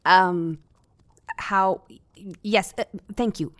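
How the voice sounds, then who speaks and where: An actress playing an earnest, eccentric Victorian woman doctor in an audio drama, telling things in a formal, deliberate way. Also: medium pitch, fairly bright tone, dry recording, studio quality. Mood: flustered, eager.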